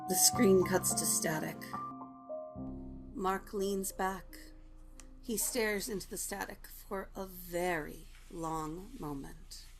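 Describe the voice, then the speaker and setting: Slow, low-voiced woman narrator. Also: music in the background, low-quality recording. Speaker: narrator reading stage directions. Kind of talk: scripted narration.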